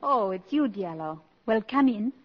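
An actress playing a proud, worldly, impoverished countess in a 1950s radio drama, with a haughty, dry, imperious manner. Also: female voice, mid-range voice, lively intonation, measured pace, a little hiss or hum, close to an everyday voice. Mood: wry, haughty. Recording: muffled vintage 1950s radio recording.

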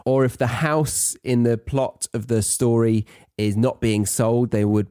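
British male podcast teacher, soft dark tone, dry sound, conversational and thoughtful delivery. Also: mid-range voice, fairly even intonation, a little slow, clean tone, dry recording. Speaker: podcast host and English teacher. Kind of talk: conversational educational podcast talk.

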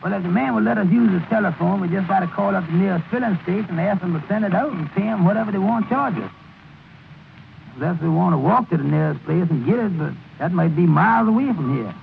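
An actor playing an earnest, worried cab driver in folksy, ungrammatical dialect, in 1930s radio comedy style. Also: male voice, high voice, animated, fast, creaky, exaggerated voice. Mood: practical. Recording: crackly 1930s radio broadcast, narrow band.

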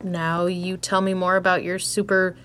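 A woman's voice: on the low side, level tone, normal speed, a bit bright, a little hiss or hum, close to an everyday voice. A woman acting a brash, foul-mouthed, blunt but caring young woman in a naturalistic audio drama. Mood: guarded, gruff concern. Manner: blunt, slangy, sweary.

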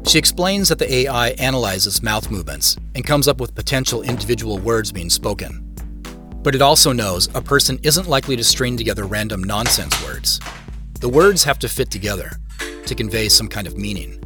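A man's voice: medium pitch, expressive, fairly quick, close to an everyday voice. An actor playing a reflective man who narrates his own story in a scripted first-person style. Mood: dry, matter-of-fact. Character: reflective man recounting his dreams and his search. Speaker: narrator and main character of an audio drama. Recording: music playing behind the voice. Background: music.

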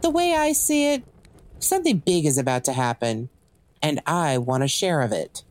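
A woman's voice: deep voice, sing-song, moderate pace, darkish tone, no echo. A woman acting in an audio drama as a cocky legendary assassin and thief for hire, with smug, theatrical, drawling taunts. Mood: playful, boastful.

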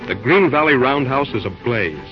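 A man narrating an old radio drama in a measured announcer delivery. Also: medium pitch, lively intonation. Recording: dull old radio recording with faint music.

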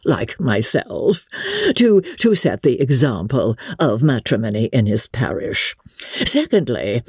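A woman voicing a pompous, self-satisfied clergyman who speaks in a slow, formal, long-winded way, in a dramatic audiobook reading of a classic novel. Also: rumbling low, a little mellow, slightly rough, no room sound, studio quality, full character voice. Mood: self-important. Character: pompous, self-satisfied, solemn young clergyman proposing marriage.

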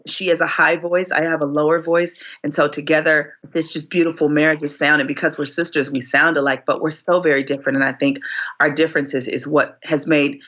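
A woman in her forties, a singer being interviewed, telling her story expressively, her voice climbing high whenever she relives a moment. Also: little pitch movement, brisk, a bit bright, lo-fi sound. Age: 40s. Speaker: guest singer.

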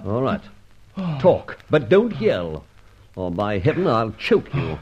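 A man playing a suave, menacing gentleman adventurer in an old radio serial, mock-polite and commanding, with clipped questions. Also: fairly low pitch, huge pitch swings, slow pace, husky, exaggerated voice. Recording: dull, lo-fi old radio recording.

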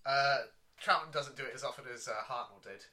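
A man's voice: mid-range voice, fairly quick, sharp tone. A man co-hosting a casual review chat, explaining things with enthusiasm. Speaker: podcast co-host.

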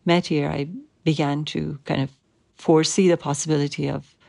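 A woman from Iran with an Iranian accent, Persian as her first language, speaking as an interviewed writer in a measured, thoughtful way with pauses. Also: low voice, flat delivery, creaky, mellow. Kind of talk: reflective interview.

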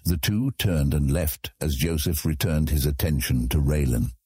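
Deep, husky male fantasy narrator. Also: moderate pace, dark tone, no room sound, studio quality. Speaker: audiobook narrator.

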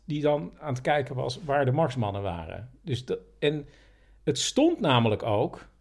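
A man with a husky voice chatting casually as a podcast host, hesitantly searching for his words. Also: medium pitch, a little mellow. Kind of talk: casual storytelling chat.

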